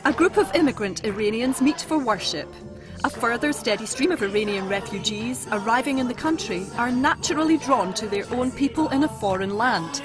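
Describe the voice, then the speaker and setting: A woman reading scripted documentary narration in a calm, deliberate way. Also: a little high. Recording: music bed underneath.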